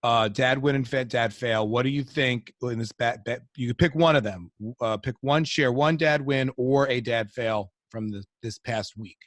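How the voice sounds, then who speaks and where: A man's voice: mid-range voice, normal speed, hardly any pauses, fairly bright tone, no echo. Rambling, joking male podcast host. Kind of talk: casual podcast chat.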